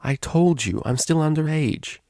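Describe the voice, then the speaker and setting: A man's voice: a little high, measured pace, a little mellow, no echo, studio quality, voice pushed up, close to an everyday voice. A man voicing a refined, anxious young female pony cellist in a story, proper and hesitant in manner. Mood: reluctant.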